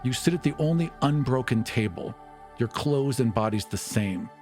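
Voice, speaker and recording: A man narrating a tabletop game as game master, in a deliberate, dramatic, descriptive style. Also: mid-range voice, animated, a little mellow. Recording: music behind the voice.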